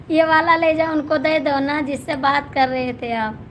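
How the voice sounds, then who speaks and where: A hoarse woman with an Awadhi accent hosts a live stream as a folk singer. She greets viewers one by one in casual chat and teases them as she reads their comments. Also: high-pitched, flat delivery, unhurried. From Gonda district, Uttar Pradesh, India. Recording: clear background noise, passing vehicles.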